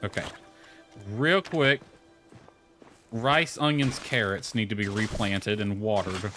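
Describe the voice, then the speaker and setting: A man's voice: lowish voice, slow, pushed and bright. A man giving casual live gameplay commentary, rambling and thinking aloud as he goes. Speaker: game streamer. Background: background music.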